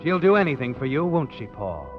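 An actor playing a knowing, taunting narrator in old radio suspense style, insinuating and ominous. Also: male voice, mid-range voice, very animated, brisk, natural voice. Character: knowing, taunting male narrator haunting the hero. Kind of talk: 1940s radio suspense narration in second person. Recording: dull vintage radio recording. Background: dramatic music.

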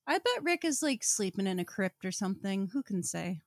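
A woman's voice: mid-range voice, sing-song, fairly quick, no echo, clean studio sound. A woman co-hosting a podcast in casual chat, with wry jokes and mock-solemn asides. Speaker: podcast co-host.

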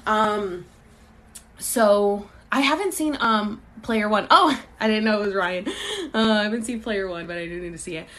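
A woman's voice: mid-range voice, moderate pace, a bit bright. Chatty young woman rambling on a live stream. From Puerto Rico. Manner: loose and chatty, many ums and likes, swears casually. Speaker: podcast host answering live comments. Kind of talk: casual rambling chat. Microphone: phone during a live stream.

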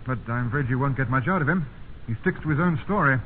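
An actor playing a dutiful, sceptical British junior army officer, formal and deferential, in 1950s radio drama style. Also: male voice, medium pitch, quick pace, close to an everyday voice. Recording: tinny, noisy 1950s radio recording.

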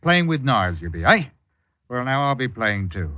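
An actor playing a bullying, sly sailor in an old-time radio drama, with a rough voice and mocking, folksy seaman's talk. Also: mid-range voice, very animated, moderate pace, sharp tone, a little hiss or hum. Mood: taunting, menacing. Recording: muffled, roomy old radio recording.

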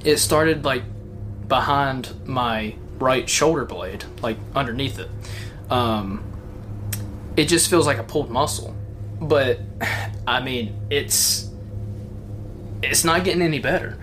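A man co-hosting a podcast, rambling in casual chat with lots of fillers, his voice a little hoarse. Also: medium pitch, takes it slowly, long pauses, fairly bright tone, soft background music. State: voice slightly hoarse. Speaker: podcast co-host.

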